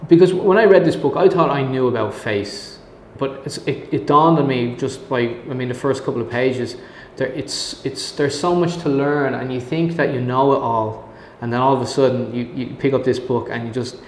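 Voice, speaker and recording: A man in his thirties from Ireland, speaking with an Irish accent, asks questions in a casual, eager way. His voice is mid-pitched, and the room adds a roomy echo with a faint hum of noise behind. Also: moderate pace. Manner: casual, enthusiastic, chatty. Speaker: interviewer, host. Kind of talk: conversational interview. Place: office meeting room at a table. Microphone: lapel mic.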